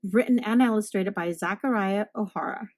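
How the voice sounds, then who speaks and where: A woman narrating a children's picture book aloud in an expressive storytime style. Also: medium pitch, normal speed. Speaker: storybook reader, host.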